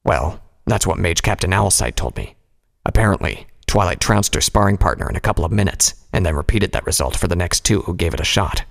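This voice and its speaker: A man voicing a stern, measured military pony stallion in a fantasy audio story, with a commanding manner. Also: low-pitched, animated, gravelly, big-room sound, pitched below the performer's own voice. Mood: matter-of-fact.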